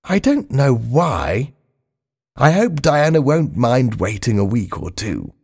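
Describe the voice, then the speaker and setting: A man's voice: medium pitch, sing-song, slow pace, husky, voice pushed up, full character voice. A man reading aloud, voicing a polite, mild middle-aged man in prison, earnest and plaintive.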